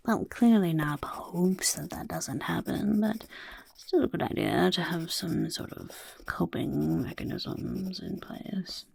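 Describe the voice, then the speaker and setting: A woman voicing a scheming, self-important goblin boss in a soft-spoken ASMR roleplay, raspy and half-whispered, muttering like an interviewer. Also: on the low side, no room sound, exaggerated voice. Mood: curious, musing. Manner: speaks of self in third person, muttering, chaotic.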